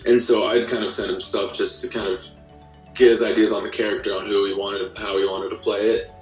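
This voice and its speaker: A man's voice: fairly low pitch, little pitch movement, a little fast, soft background music. A young American man chatting casually as an interview guest, explaining things in a rambling, self-interrupting way. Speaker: podcast guest, musician and audio drama creator. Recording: roomy echo, distant from the mic.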